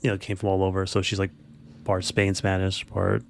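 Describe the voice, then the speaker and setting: A man's voice: deep voice, monotone, normal speed, creaky. A man hosting a podcast, chatting casually in an informal, rambling storytelling way. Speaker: podcast host. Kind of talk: casual unscripted podcast chat.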